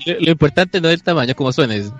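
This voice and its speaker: Male comedy host, Chilean accent, voice on the high side, casual and mock-solemn, repeats himself. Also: expressive, rapid-fire, a bit bright. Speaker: host of a comedy improv show. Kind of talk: casual joking group chat.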